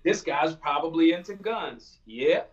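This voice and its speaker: A man's voice: on the high side, lively intonation, measured pace. A man in his forties, a guest on a video call, talking emphatically and acting out quoted exchanges. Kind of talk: casual video-call chat. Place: small room indoors. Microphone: video call webcam. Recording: muffled distant video-call audio.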